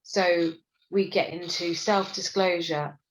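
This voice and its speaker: A woman from London with a London accent, a music therapist, thinking aloud in a reflective conversation and often letting her words trail off quietly. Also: fairly low pitch, steady tone, slow pace, low-quality recording. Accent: London English. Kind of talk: conversational discussion.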